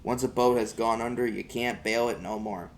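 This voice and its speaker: Male amateur reader, mid-pitched, folksy first-person storytelling, light background noise. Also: moderate pace.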